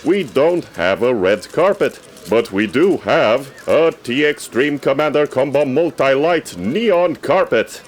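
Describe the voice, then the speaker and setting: A man playing an eager radio correspondent reporting from a red carpet in a comedy sketch, formal and theatrically excited. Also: medium pitch, a little hiss or hum. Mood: grandly excited.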